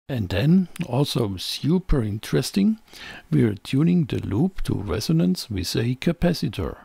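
Gravelly, animated man explaining electronics. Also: mid-range voice, no room sound, clean studio sound. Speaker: presenter of an electronics channel. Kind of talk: scripted explainer narration.

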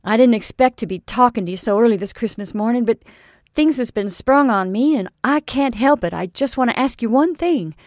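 A woman voicing an earnest old male sea captain who talks in loud, salty seafaring phrases. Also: medium pitch, normal speed, no echo, studio quality, close to an everyday voice.

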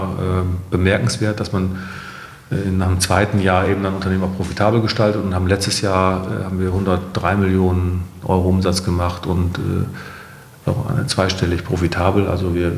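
A middle-aged man with a deep, low voice talks conversationally, as a guest being interviewed. The room is echoey and leaves a long reverb on his words. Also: creaky, a little mellow, a little hiss or hum. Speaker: company co-founder, interview guest.

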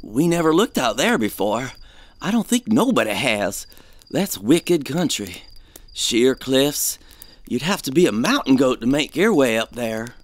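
A man's voice: mid-range voice, very animated, unhurried. Guy doing a superstitious scared prospector sidekick, rustic and folksy, voice swings around a lot, radio play. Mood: wary. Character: superstitious, fearful male treasure hunter, wary sidekick. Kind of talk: audio drama acting.

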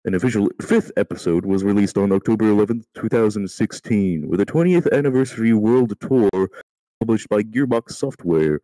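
Bright-voiced man stumbling through reading aloud. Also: on the low side, moderate pace, no room sound, poor audio. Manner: stumbling over words, casually profane. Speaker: amateur reader in a group voice call. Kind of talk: reading an encyclopedia article aloud.

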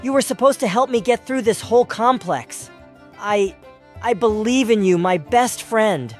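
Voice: medium pitch, measured pace, close to an everyday voice. A performer plays a young boy in a cartoon dub. He is nervous and urgent, stammering and pleading as he speaks over music. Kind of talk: comic dub character acting.

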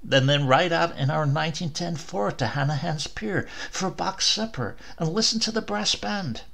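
A man's voice: on the high side, normal speed, creaky, fairly bright tone, pitched above the performer's own voice. A man voicing a mild-mannered, nostalgic storybook husband who speaks in a wistful, coaxing, hesitant way.

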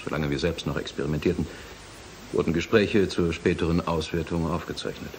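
A man's voice: a bass voice, steady tone, a little fast, a little hiss or hum. An actor playing a formal, guarded male institute president in a radio play, explaining carefully and hesitantly. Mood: guarded, explanatory. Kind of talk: German radio play acting.

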